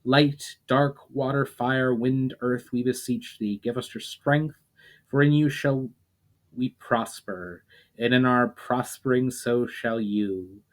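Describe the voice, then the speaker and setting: A man voicing a solemn male leader who grants elemental powers to his friends, speaking in a measured way. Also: mid-range voice, close to an everyday voice. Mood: chanting.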